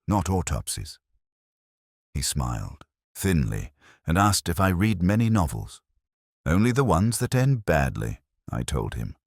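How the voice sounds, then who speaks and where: A man narrating a scripted first-person detective story in a measured, literary, reflective way. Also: rumbling low, no room sound, studio quality.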